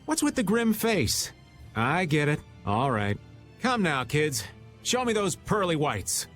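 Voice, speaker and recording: A sly older American rogue from an anime video game, folksy and teasing. His pitch swings wildly and theatrically from line to line, with music underneath. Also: wildly swooping pitch, a little slow, fairly bright tone, full character voice. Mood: sly, breezy. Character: sly, jokey, slouching older rogue of a man.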